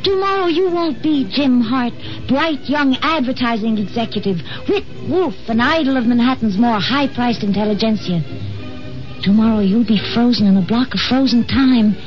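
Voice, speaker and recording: A woman's voice: fairly high pitch, normal speed, close to an everyday voice. An actress playing an earnest, anguished woman in love, pleading dramatically in the style of 1950s radio drama. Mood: pleading, exasperated. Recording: muffled old radio recording, loud music behind.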